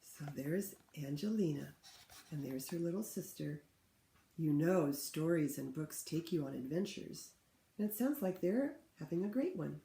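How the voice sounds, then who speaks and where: Mellow, creaky-voiced woman reading to children. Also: low voice, unhurried. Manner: expressive, teacherly, asks listeners questions. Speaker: read-aloud host for children. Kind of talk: picture book read-aloud with asides to children. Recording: roomy, echoing room sound.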